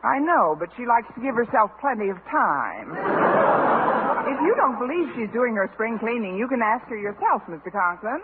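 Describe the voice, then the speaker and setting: Wry woman schoolteacher, lively intonation, deadpan comebacks, 1950s radio comedy, narrow old recording. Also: on the high side, moderate pace, heavy background noise. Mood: flustered, evasive. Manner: sardonic, deadpan asides.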